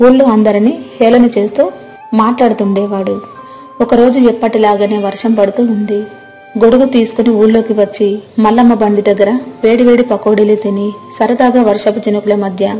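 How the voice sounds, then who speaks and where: A woman with a mellow, medium-pitched voice narrates a scripted moral tale. Faint music plays beneath her storytelling. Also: fairly even intonation, brisk. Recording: music behind the voice.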